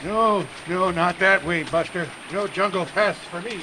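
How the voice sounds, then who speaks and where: A man's voice: high voice, measured pace. A man playing a cocky, folksy, tough-talking sidekick in an old-time radio western adventure. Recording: live stage recording with clear noise.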